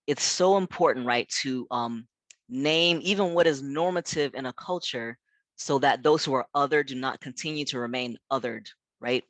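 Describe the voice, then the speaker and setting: A man's voice: medium pitch, moderate pace, fairly bright tone, no room sound. A man from South Africa with a Midwestern American accent, a minister giving a conversational workshop, earnest and full of "you know" and "right?". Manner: earnest and emphatic.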